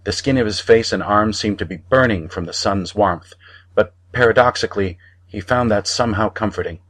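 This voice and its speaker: Male narrator, low voice, measured storytelling delivery for scripted audiobook fiction. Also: a bit bright.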